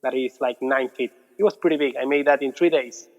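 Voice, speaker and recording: A man in his thirties from Argentina with an Argentine Spanish accent, chatting casually as an interview guest, relaxed and repeating his words. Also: medium pitch, brisk. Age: 30s. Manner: relaxed, repeats words, non-native phrasing. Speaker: guest, muralist. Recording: dull audio with long reverb.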